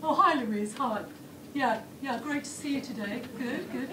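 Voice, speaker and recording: A woman performer playing a brisk, upbeat audition panelist who makes chirpy, breezy small talk. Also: sits high. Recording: distant, echoey stage with background noise.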